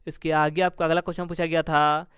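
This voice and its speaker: A man's voice: a little high, little pitch movement, brisk, fairly bright tone, smooth, no room sound, studio quality. A man teaching an exam-prep lesson, methodically going through questions and answers with repeated set phrases.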